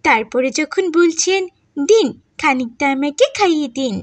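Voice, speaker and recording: A woman voicing a friendly, polite little village girl, a playmate in an animated moral story. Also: high-pitched, normal speed, no echo. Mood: friendly, chatty.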